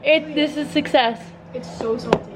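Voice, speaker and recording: A young woman with a high voice chats casually, drowsy and giggly. Crowd chatter hums behind her. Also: moderate pace, low-quality recording. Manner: sleepy, giggly. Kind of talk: casual chat.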